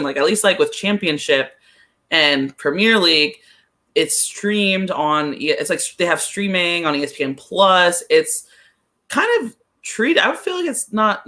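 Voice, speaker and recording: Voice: mid-range voice, expressive, a little slow, bright, forceful tone. Casual, tentative football podcast guest. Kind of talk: casual sports podcast discussion.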